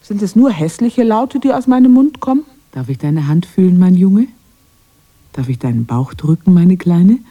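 A lonely witch luring kids, radio play, breathy dark voice, aching and yearning. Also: fairly low pitch, lively intonation, normal speed, lo-fi sound. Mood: shy, yearning. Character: lonely, aching witch woman tempting children. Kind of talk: intimate German radio play acting.

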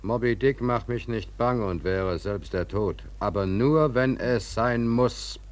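A male actor plays a sober, principled mate aboard a whaling ship in a radio drama. His medium-pitched voice stays measured and firm as he reasons with authority above him. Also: close to an everyday voice. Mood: firm, restrained. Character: sober, principled ship's mate who defies his captain. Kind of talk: German radio drama acting.

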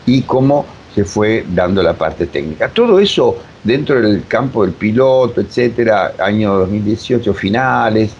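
Deep-voiced Argentine man, halting and digressive. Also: expressive, darkish tone. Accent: Argentine Spanish. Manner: halting, digressive, repeats himself. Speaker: interviewed theater director and voice teacher. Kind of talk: conversational interview answers. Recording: dull sound with faint rumbling noise.

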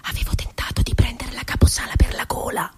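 A woman's voice: fast, in a whisper, natural voice. An actress playing a wry, childlike, wounded woman poet confined in an asylum, in a lyrical theatrical monologue. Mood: playful, wry. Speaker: stage actress. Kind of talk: theatrical monologue for radio drama.

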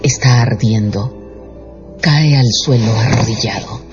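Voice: mid-range voice, a little slow. A narrator reading a radio novel in a dramatic, scripted storytelling style. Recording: dull radio sound with music behind.

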